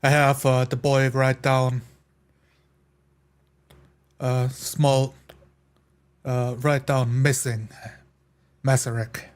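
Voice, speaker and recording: A man playing a tabletop roleplaying game, describing his actions in a halting, deliberate way. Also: medium pitch, level tone, smooth. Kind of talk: improvised roleplay narration.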